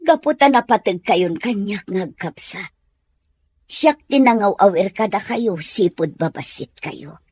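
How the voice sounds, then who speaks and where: Dutiful young household helper, voiced by a woman, radio drama: deferential, middle-pitched, slightly rough voice. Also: lively intonation, a little slow, a little mellow, no echo. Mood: hurt, pleading. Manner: pleading, deferential. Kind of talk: Ilocano audio drama acting.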